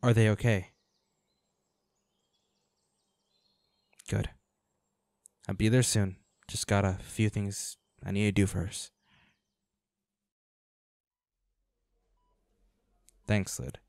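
A man's voice: lowish voice, flat delivery, unhurried, vocal fry, a little mellow, no room sound, studio quality. A man playing a protective, devoted hunter boyfriend in a dramatic roleplay audio, speaking earnestly and intensely. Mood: curt, subdued.

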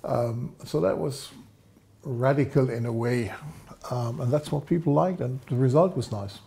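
A man in his sixties explaining technical matters in an interview, speaking in a measured, deliberate way. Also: medium pitch, gravelly, dark tone. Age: 60s. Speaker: guest, loudspeaker designer. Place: studio set, seated indoors.

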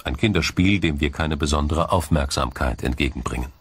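Low-voiced male documentary narrator. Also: breathy edge. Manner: measured, explanatory, formal delivery.

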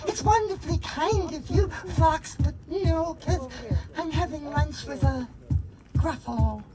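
A woman voicing a small, clever brown mouse who bluffs bigger animals, in a high, eager storybook voice. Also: measured pace, music in the background. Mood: bluffing.

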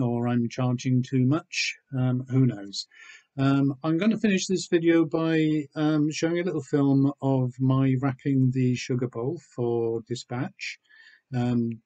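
A man in his sixties from Wales, talking casually and hesitantly in a low-key, understated way as he presents a home vlog. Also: mellow. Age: 60s. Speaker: online seller and video host. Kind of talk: casual vlog chat, showing items. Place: small room at home with bookshelves.

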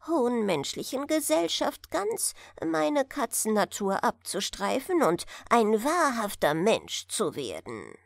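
Woman voicing a proud, courteous storybook tomcat, lilting and high. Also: huge pitch swings, takes it slowly, creaky, hardly any pauses, no room sound, studio quality, heavily acted. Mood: courteous, hopeful. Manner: formal, dignified speech. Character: proud, courteous, earnest male tomcat aspiring to be human.

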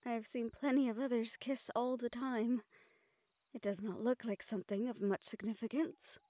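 A woman's voice: on the high side, fairly even intonation, moderate pace, dry recording, studio quality, lightly acted. A woman playing a shy, sweet, self-conscious young nephilim woman in love, speaking hesitantly and tenderly in a romantic audio roleplay. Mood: tender, hopeful. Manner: hesitant, tender, breathy hushed passages.

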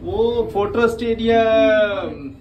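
A man's voice: high-pitched, slow, muffled recording. A man in his thirties with a Pakistani accent, chatting casually to camera and to children as he films a family vlog on his phone. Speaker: family vlogger. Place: busy amusement park and outdoors. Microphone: phone at arm's length. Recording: noisy phone recording amid crowd clamour.

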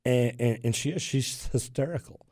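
Animated man in his sixties. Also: medium pitch, moderate pace, no room sound, clean studio sound. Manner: animated storyteller, gestures while talking. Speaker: plumber guest on talk radio. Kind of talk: casual radio chat and storytelling. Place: radio studio, indoors. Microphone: studio boom mic with headphones.

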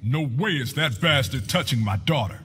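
A man's voice: medium pitch, expressive, moderate pace, fairly bright tone, a little hiss or hum, lightly acted. A man playing a blunt, tough-talking soldier father in a fighting game. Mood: stern. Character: gruff protective soldier father, middle-aged.

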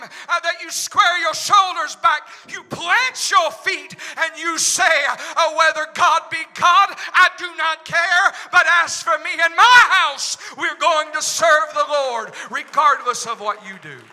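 A middle-aged pastor with an Oklahoma accent preaching into a handheld microphone in a large church sanctuary, dropping to a hush and then rising to shouts. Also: male voice, very high-pitched, takes it slowly, soft background music. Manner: swings from hushed to shouting. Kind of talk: preaching a sermon. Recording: long hall reverb in church.

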